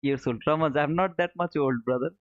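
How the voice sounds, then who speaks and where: Through a thin, phone-like connection comes a fairly high-voiced man. He chats casually as a stream host, repeating short phrases and addressing viewers like pals. The room adds some echo. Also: a little fast. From Bangladesh. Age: 30s. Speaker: live trading stream host. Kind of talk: casual chat, answering viewer comments. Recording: narrow phone-like sound, roomy echo.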